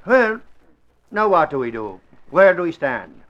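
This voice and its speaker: High-pitched, blustery sidekick. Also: male voice, very animated, measured pace, a bit bright. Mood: impatient. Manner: exclamatory, folksy. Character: blustery, excitable Irish man, indignant sidekick. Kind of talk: 1940s radio acting. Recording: muffled vintage 1940s radio recording.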